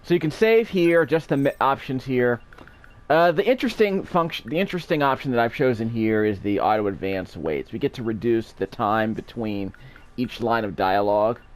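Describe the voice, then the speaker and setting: A man's voice: mid-range voice, lively intonation, moderate pace. A man casually commentating on a video game as its host, rambling off into asides. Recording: game music behind. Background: video game music.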